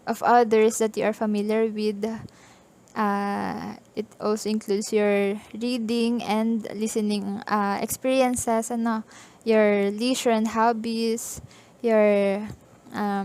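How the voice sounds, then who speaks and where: A woman's voice: mid-range voice, a little mellow. A woman with a Filipino accent lecturing as a teacher, explaining step by step in an instructional way with frequent check-ins. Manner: instructional, mixes English and Tagalog, frequent check-in tags.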